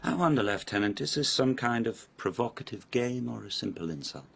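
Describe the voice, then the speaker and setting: An actor playing a haughty, sardonic palace lord in a radio drama, speaking with formal, mocking insinuation. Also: male voice, mid-range voice, animated, brisk, vocal fry, fairly bright tone, husky, soft background music, full character voice.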